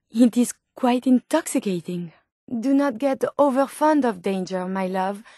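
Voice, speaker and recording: A woman's voice: a little high, dark tone, dry recording, studio quality. An actress voicing a polite, curious and tender female robot scientist in a video game, with a French accent and precise, formal phrasing. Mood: loving. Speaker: video game companion character. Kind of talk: video game companion dialogue.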